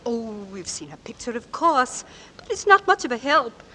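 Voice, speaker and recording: Casting: tactless, theatrical neighbour lady in an old radio thriller, sharp and very animated, slight hiss. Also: a little high, normal speed. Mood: deliciously uneasy. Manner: gushing, theatrical, fussy. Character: chatty, tactless neighbour lady and mother, delighting in cheerful horrors. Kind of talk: 1940s radio acting. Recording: noisy vintage radio recording.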